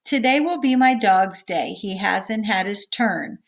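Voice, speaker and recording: A woman's voice: mid-range voice, expressive, a little slow. A woman teacher reading a picture book aloud and explaining it simply and casually to children, often checking that they follow. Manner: explains simply, checking in with okay and all right.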